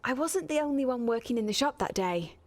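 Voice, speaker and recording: Sardonic young woman, high-pitched and very animated, radio drama. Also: fairly quick. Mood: defensive, sarcastic. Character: sarcastic, quick-witted young woman shop worker. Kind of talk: radio soap acting.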